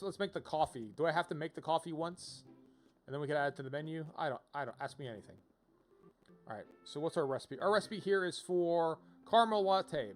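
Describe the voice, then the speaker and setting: A man's voice: on the high side, bright, forceful tone. A man giving casual livestream game commentary, rambling as he thinks aloud. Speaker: game streamer.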